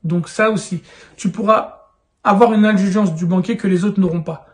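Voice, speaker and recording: A man's voice: sits high, steady tone, normal speed. A man in his thirties giving casual, urgent real estate advice straight to the viewer in a vlog. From France. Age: 30s. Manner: urgent, persuasive, addresses viewer informally. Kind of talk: direct-to-camera advice vlog.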